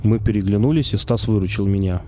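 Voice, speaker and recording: A man's voice: fairly low pitch, fast talker, a loud crowd. A man narrating an audiobook in a wry first-person storytelling manner. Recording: faint music underneath.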